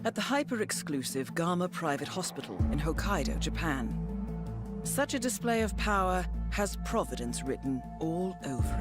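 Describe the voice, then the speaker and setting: An actress playing a composed, authoritative female mission handler in a video game, speaking in a crisp, formal, measured way. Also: on the low side, close to an everyday voice. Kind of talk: scripted game dialogue and mission briefing.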